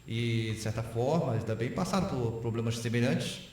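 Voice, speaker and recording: A man's voice: medium pitch, a little fast, darkish tone. Brazilian man in a very echoey room. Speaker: interview guest, philosopher. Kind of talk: reflective interview talk.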